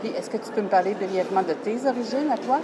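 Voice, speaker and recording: A woman's voice: medium pitch, fast, background noise. A woman interviewing a guest in a friendly, conversational way. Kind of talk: casual interview questions.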